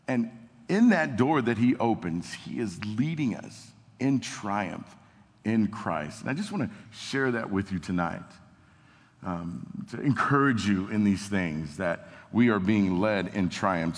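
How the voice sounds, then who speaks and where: Young youth pastor guy preaching, lowish voice, chill and joking, long echo off the hall. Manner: casual and self-deprecating, reads scripture with rising emphasis. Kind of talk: sermon with scripture reading. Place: church hall with amplified sound. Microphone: amplified microphone.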